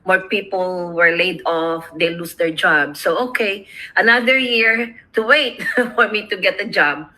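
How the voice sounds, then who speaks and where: A woman's voice: fairly low pitch, unhurried, bright, forceful tone, poor audio. A middle-aged woman with a Filipino accent, chatting casually on a video call and rambling with many fillers about her online business. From Philippines. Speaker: online business owner, co-host.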